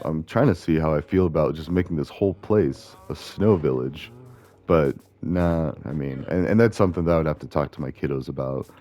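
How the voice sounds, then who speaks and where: A man with a gravelly voice, chatting casually and rambling as he plays a video game, often correcting himself. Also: a bass voice, warm tone. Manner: chatty, meandering, self-correcting. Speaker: gaming video host. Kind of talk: casual rambling commentary while playing.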